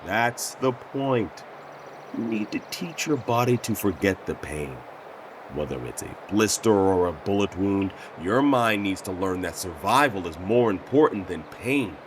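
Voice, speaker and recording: A man's voice: on the low side, animated, unhurried, slight background noise. A man playing a tough, teasing survival trainer in an audio drama, blunt and instructive. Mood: stern, instructive. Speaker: combat mentor character. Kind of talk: acted audio drama dialogue.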